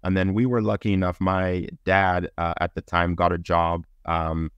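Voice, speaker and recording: A man's voice: deep voice, little pitch movement, normal speed, smooth, no echo, muffled recording. A middle-aged man from Zambia who later lived in Belgium, giving conversational, explanatory interview answers as a podcast guest and thinking aloud. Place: indoors on a video call.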